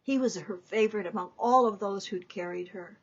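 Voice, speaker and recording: A woman giving a dramatic reading of a story, deliberate and theatrical, with emphatic pauses. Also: medium pitch, breathy edge, lo-fi sound. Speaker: author reading from own book.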